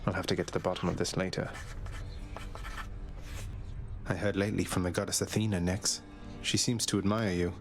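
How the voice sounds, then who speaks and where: A deep-voiced young underworld prince, polite and wry with an understated, hesitant delivery. It is game dialogue with soft music underneath. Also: male voice, flat delivery, fast. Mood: tactful. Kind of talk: dramatic video game voice acting.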